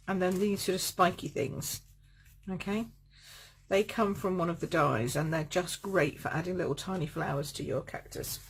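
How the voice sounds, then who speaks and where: A woman with a croaky voice from a cold, chatting through a live card-making demonstration and greeting viewers by name. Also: on the low side, slight background noise.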